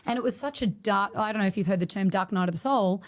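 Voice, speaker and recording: A woman's voice: medium pitch, fast. A woman, a studio owner telling her story with feeling in a podcast interview. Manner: emotional, emphatic storytelling.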